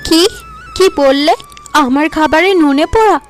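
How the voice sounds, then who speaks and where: A woman's voice: sits high, normal speed, voice pushed up, lightly acted. A woman voicing a vain, scheming young wife in a cartoon folk tale, who talks in a boastful, mocking way. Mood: protesting. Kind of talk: animated folk tale voice acting.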